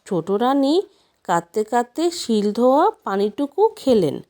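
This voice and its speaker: A woman storyteller reads a fairy tale in a mellow, fairly high voice. Her delivery swings up and down expressively, with deliberate pauses between phrases. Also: sing-song. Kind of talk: scripted fairy tale narration.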